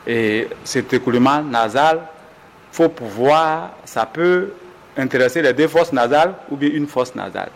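A man's voice: mid-range voice, vocal fry, big-room sound, slight background noise. A man, a doctor, patiently explaining symptoms in an interview, often restarting and correcting himself. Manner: measured, explanatory, with frequent restarts and self-corrections.

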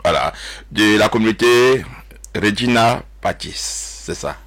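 A man hosting a religious radio talk show, often pausing and repeating his words. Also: fairly low pitch, expressive, a bit bright. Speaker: radio host.

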